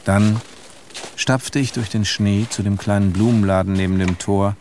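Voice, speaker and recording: An actor playing a reflective, weary middle-aged narrator, reading literary radio prose in a measured, melancholic way. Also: male voice, on the low side, steady tone, darkish tone, a little hiss or hum, lightly acted. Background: music.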